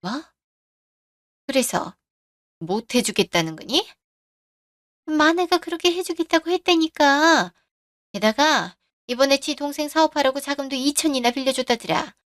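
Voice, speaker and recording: A woman's voice: high-pitched, animated, normal speed, long pauses, fairly bright tone, dry recording, clean studio sound. A woman voicing a pushy, boastful older mother-in-law who demands money. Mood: demanding. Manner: demanding, scolding.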